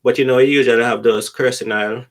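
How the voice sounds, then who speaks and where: A man's voice: mid-range voice, fairly even intonation, fast talker. A middle-aged man with a Jamaican accent, chatting casually as a podcast guest and telling anecdotes, often repeating words for emphasis.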